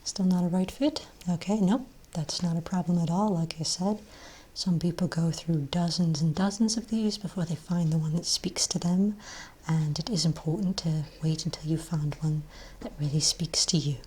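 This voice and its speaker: A woman with a low, soft, breathy voice that often slips close to a whisper. She plays a shopkeeper in an ASMR role play, talking gently and without hurry in a reassuring way. A faint layer of noise sits behind the voice. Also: moderate pace. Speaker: ASMR role-play performer as wand shop owner. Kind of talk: soft-spoken ASMR role play, improvised sales patter.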